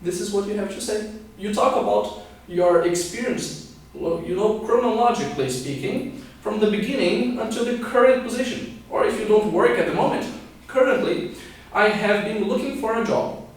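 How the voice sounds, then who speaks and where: A man's voice: sits high, moderate pace. A man in his twenties from Scotland and Brazil giving an instructional video lesson, explaining step by step and often checking in with okay. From born in Scotland, raised partly in Brazil. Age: 20s. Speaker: English teacher and pilot giving a lesson. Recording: very echoey room, distant microphone.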